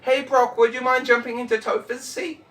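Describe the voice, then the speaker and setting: A loud man hosting a casual vlog, boisterous and teasing as he banters to camera. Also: extremely high pitch, flat delivery, moderate pace. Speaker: host. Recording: distant mic, faint background noise.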